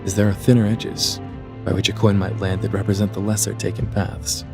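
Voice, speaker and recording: A man playing a blunt, inquisitive god of death with measured, formal speech in an audio drama. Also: lowish voice, little pitch movement, vocal fry, over music. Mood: calm, measured. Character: impassive, inquisitive male god of death, blunt assassins' accountant.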